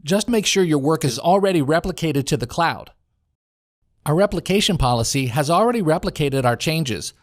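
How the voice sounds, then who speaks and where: An American male voice-over narrator with a slightly high voice, recorded dry in a home studio. He reads a scripted software walkthrough in a measured, instructional manner. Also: no echo.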